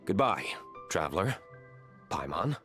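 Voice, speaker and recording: A low-voiced, aloof nobleman in a video game. He is formal and coolly courteous, keeping his feelings back, with music faintly underneath. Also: big pitch swings, a bit bright, heavily acted. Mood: curt. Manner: formal, reserved, measured.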